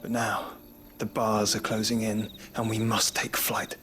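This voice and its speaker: A man's voice: lowish voice, monotone, measured pace, music in the background, close to an everyday voice. A man playing a weary, ailing, philosophical duke in a game cutscene, speaking in a formal, eloquent way. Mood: solemn, rueful. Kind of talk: dramatic video game cutscene acting.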